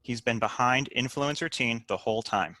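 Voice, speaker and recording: A man's voice: mid-range voice, normal speed, pushed and bright. A man reading out stage directions as scripted narration for a screenplay table read.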